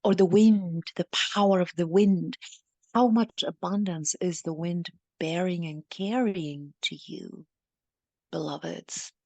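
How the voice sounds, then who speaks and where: A woman leading a channeled guided meditation, speaking solemnly in halting, deliberate, repetitive phrases that often soften toward a breathy whisper. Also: lowish voice, fairly even intonation, no echo.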